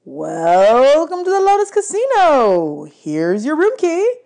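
A woman voicing a breezy, welcoming young male casino bellhop in an audiobook, with cheery, drawn-out delivery. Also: extremely high pitch, wildly swooping pitch, creaky, darkish tone, voice pushed up, heavily acted. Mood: cheerful, welcoming.

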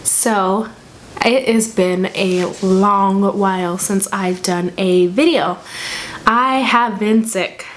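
A young woman vlogging to camera at home, hoarse from a cold, chatty and rambling with lots of ums and restarts. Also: medium pitch, slow, a little hiss or hum. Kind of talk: casual chat to camera. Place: small room at home, indoors. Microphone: camera in front at desk distance.

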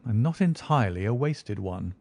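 Measured male detective, dry wit, quietly sure of himself, read by a male narrator. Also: lowish voice, gravelly, no echo. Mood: calm, assured. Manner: calm, dry, quietly confident. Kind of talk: audiobook character voice.

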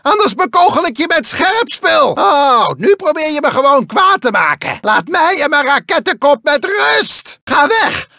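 A manic cyborg mad scientist from a cartoon video game, whiny and vengeful. The voice is shrill and very high, swooping wildly from mutters to shrieks. It has a gravelly crackle and theatrical villainy. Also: male voice, sing-song, unhurried, few pauses, sharp tone, no room sound, studio quality, heavily acted. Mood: furious, taunting.

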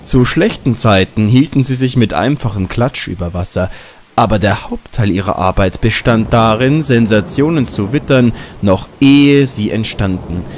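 A man's voice: fairly low pitch, moderate pace, a little hiss or hum. A man narrating a scripted horror story as an audiobook.

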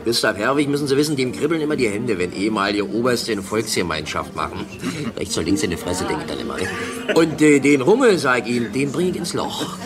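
Brash, animated ex-convict played by an actor. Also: male voice, mid-range voice, fairly quick, natural voice. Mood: bitter, boastful. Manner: brash, blustering, colloquial. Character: Gustav Herwig, boisterous bitter Berlin ex-convict. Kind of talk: radio play acting. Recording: music behind the voice.

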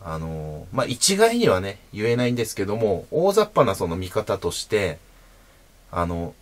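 A man in his thirties hosting his own channel, explaining sword types patiently to camera from a small room, with frequent fillers and pauses as he demonstrates. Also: on the low side. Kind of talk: casual explanatory talk to camera. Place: small indoor room at home.

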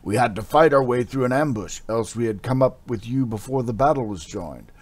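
A man doing a loud gruff northern warrior. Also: mid-range voice, a little fast, pitched above the performer's own voice, close to an everyday voice. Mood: urgent. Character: gruff blond northern warrior, rescuer, middle-aged man.

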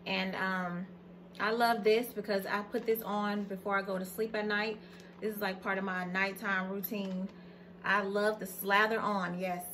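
American woman vlogger over clear background noise. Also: mid-range voice. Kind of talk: casual product haul chat to camera. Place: indoors in a plain-walled room at home.

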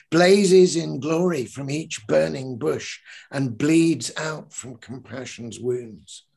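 A man's voice: mid-range voice, creaky, a little mellow, slightly rough. An older man, a poet, talking earnestly with many restarts and then reading his own poem aloud with swelling emphasis, on a video call from a living room at home.

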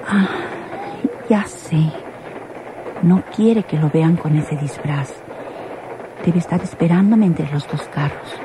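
A young Mexican señorita in an old radio serial. Her voice sits a little low, polite with an anxious edge. The old recording is clearly noisy. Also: slow pace, long pauses. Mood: puzzled, musing. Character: young woman train passenger, polite but anxious. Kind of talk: Mexican radio soap opera acting.

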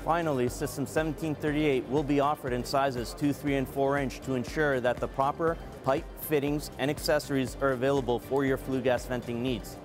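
Man in his forties, product manager, measured scripted delivery, lapel mic, clear music underneath. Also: mid-range voice, steady tone. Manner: measured, earnest, reads from a script. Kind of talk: scripted corporate product presentation. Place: trade show booth and studio backdrop. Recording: clean voice over background music.

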